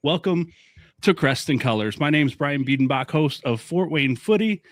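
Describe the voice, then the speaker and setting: A man's voice: on the high side, expressive, normal speed, no echo, studio quality. A man hosting a soccer fan podcast, upbeat and talkative, moving from a scripted intro to friendly chat. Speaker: podcast host.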